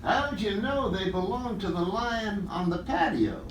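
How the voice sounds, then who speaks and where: An actor playing a tough, suspicious detective with hard-boiled questioning in a rehearsed radio play. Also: male voice, high voice, lively intonation, a little slow. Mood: suspicious, probing. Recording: noisy, echoey, distant rehearsal audio.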